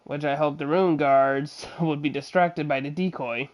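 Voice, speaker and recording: A man livestreaming a game with casual, somewhat halting commentary. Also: a little high, steady tone. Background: game music.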